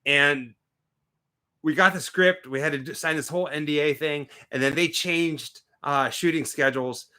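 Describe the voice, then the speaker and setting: A man's voice: a little high, moderate pace, pushed and bright. A man in his twenties co-hosting a casual live stream, joking and bantering. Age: 20s. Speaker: co-host.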